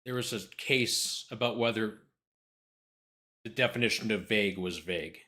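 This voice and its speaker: A man's voice: fairly low pitch, moderate pace, bright, forceful tone. A man hosting a livestream, giving casual, wry reaction commentary. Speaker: lawyer commentator, livestream host.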